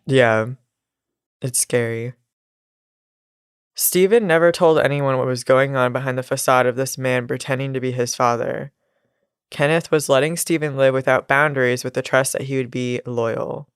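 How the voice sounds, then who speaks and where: A woman's voice: very deep voice, steady tone, moderate pace, clean tone, no room sound, clean studio sound. A nasal, congested woman telling a true crime story on a casual podcast, with laughs and asides. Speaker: podcast co-host.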